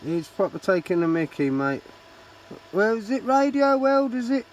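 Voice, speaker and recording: A British man chats casually over CB radio. His voice is fairly high and very animated in pitch, with clear radio noise. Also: normal speed, dull audio. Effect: CB radio transmission. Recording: noisy CB radio reception.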